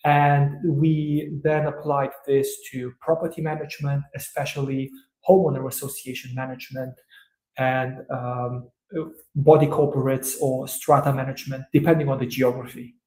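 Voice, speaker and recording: A man from Romania, an entrepreneur guest in an interview, who answers in a thoughtful, hesitant way with many "uh" pauses. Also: mid-range voice, level tone, dark tone, reverberant, poor audio.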